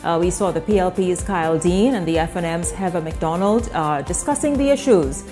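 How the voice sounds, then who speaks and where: A woman's voice: on the low side, brisk, dark tone, faint music behind. A woman hosting a live TV talk show, chatty and enthusiastic, often repeating her words. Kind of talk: live TV interview chat.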